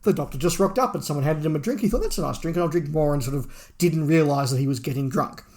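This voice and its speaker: A man with a noticeably creaky, gravelly voice hosts a podcast. His delivery is casual and conversational, in the manner of a relaxed review discussion between co-hosts. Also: a little high, quick pace. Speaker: podcast host.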